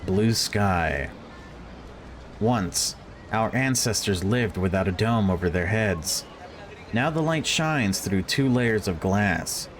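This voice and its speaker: A man reading a visual novel aloud as a let's player, mixing expressive narration with wry, casual asides. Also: fairly low pitch, slow pace, slight background noise. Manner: wry, joking commentary between read passages.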